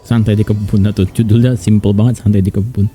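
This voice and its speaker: A man with an Indonesian accent giving casual gameplay commentary in a husky voice. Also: on the low side, level tone, fast talker, mellow. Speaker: game streamer. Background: game music.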